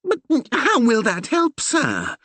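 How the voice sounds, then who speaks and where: A man voicing a small, timid young curate who frets and squeaks. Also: huge pitch swings, drawn-out words, no echo, exaggerated voice. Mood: anxious. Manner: squeaky, fretful.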